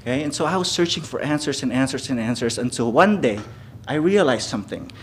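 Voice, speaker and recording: A man's voice: medium pitch, lively intonation, fairly quick, a little hiss or hum. Man telling personal stories to a crowd. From Philippines. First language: Filipino. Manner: keeps checking in with the crowd with "okay?". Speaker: speaker. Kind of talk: personal storytelling talk to a live audience. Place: venue before a live audience.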